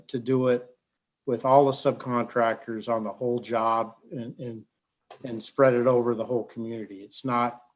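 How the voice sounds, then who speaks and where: A man with a mid-range voice answers questions at a public meeting. He speaks haltingly and repeats words as he explains his team's approach. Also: mid-range voice. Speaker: project team member.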